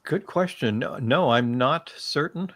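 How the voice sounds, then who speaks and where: A man with a medium-pitched, lively voice answers questions on a panel. He is casual and careful, a bit tentative, in a dry recording. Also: measured pace, no echo. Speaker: fan panelist.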